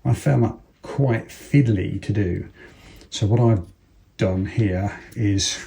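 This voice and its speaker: A British man with a low-pitched voice presents a practical how-to. He explains each step casually as he works through it. His delivery is informal and unhurried, as though he is thinking aloud. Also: vocal fry, background noise. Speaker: presenter of a product installation tutorial. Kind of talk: casual step-by-step hands-on demonstration.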